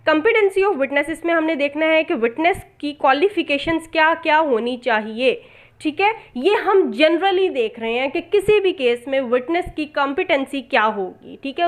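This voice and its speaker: High-pitched Pakistani woman lecturing. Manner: didactic, repeats and rephrases points with frequent check-ins. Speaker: law lecturer. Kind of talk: explanatory lecture mixing in English terms.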